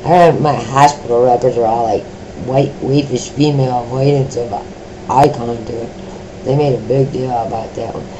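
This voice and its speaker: A middle-aged woman with a deep voice, sharing her own experiences in a personal vlog, speaking slowly with many pauses, ums and restarts. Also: steady tone, highs cut off, noisy background. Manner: slow, halting talk with many fillers and restarts.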